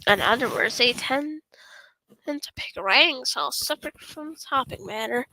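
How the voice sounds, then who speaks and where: Woman voicing a shy, apologetic bookish girl, high voice. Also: expressive, normal speed, sharp tone, a little hiss or hum, poor audio. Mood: earnest, hesitant. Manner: stammering, hesitant. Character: shy, apologetic young woman, bookish literature club member.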